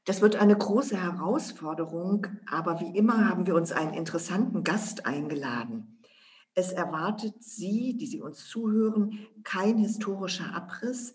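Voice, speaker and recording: A woman's voice: on the low side, moderate pace, a little mellow. A woman co-hosting an educational podcast, formally addressing listeners. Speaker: podcast co-host. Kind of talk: scripted podcast moderation.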